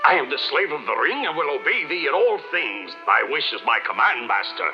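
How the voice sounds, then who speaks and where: A man voicing a mighty, solemn, obedient genie in a 1970s cartoon dub, with slow archaic proclamations. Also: fairly high pitch, very animated. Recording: dull old TV soundtrack, music behind.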